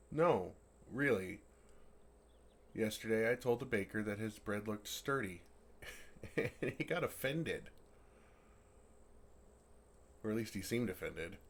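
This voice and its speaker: Man playing a low-voiced, naive friendly dragon. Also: slight background noise. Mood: puzzled, chatty. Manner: earnest, playful, puzzled, soft chuckles. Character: friendly, naive, earnest male dragon, fond of a human. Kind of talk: ASMR roleplay, intimate one-sided dialogue.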